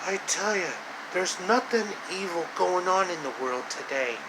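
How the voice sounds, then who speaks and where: An imperious ice queen villainess, acted out by a man, grumpy and commanding, in a homemade storybook tale. A loud, steady hum and hiss sits behind the voice. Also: high-pitched, moderate pace, pitched above the performer's own voice. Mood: scheming. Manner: commanding, disdainful.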